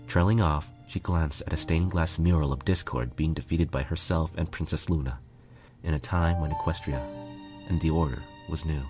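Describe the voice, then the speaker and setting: A man's voice: extremely low pitch, fairly even intonation, moderate pace, music in the background. A man reading a piece of fiction aloud as a scripted narration. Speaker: fan fiction reader, narrator.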